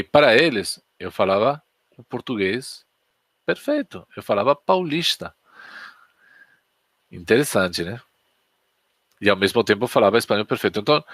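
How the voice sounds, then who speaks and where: Spanish guy w/ a spanish accent, lowish voice, chatty storytelling lesson, dry close sound. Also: a bit bright, no room sound. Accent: Spanish from Spain. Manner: chatty, anecdotal, asks the audience questions. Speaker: Spanish teacher. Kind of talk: casual storytelling lesson on a live stream.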